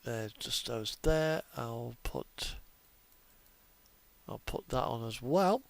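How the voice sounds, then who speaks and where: Male game streamer, unhurried and gravelly, hesitant off-the-cuff commentary, murmuring as he thinks aloud. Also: medium pitch, lively intonation. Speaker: livestreamer, video game host. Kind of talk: casual unscripted gameplay commentary.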